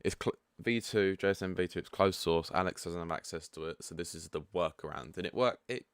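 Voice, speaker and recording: Croaky deep-voiced man explaining software. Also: a little fast, no room sound, clean studio sound. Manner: relaxed and chatty, frank asides. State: croaky, just woken up. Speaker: product developer giving a setup tutorial. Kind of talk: casual screen-share walkthrough.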